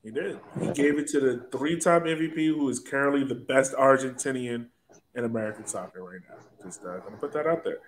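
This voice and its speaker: A man with a mid-pitched voice hosts a casual sports podcast. He talks in a playful, teasing manner, and some background noise sits behind him. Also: brisk, rough recording. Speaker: podcast host.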